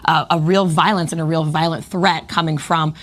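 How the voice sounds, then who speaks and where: A woman co-anchors live news in a fairly low voice. She gives engaged, emphatic commentary, pressing her points home as she talks with her colleague. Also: fairly even intonation, moderate pace, a bit bright, slight background noise. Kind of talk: live news desk conversation.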